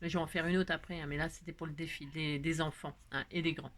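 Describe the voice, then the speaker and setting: A woman's voice: low-pitched, flat delivery, quick pace, a bit bright, slight background noise. Rambling, jokey woman chatting casually. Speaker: craft video host. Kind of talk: casual chat.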